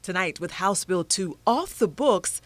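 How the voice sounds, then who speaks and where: A woman's voice: on the low side, animated, measured pace, no echo. A woman giving a scripted live news report as a TV reporter. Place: outside an arena in a city.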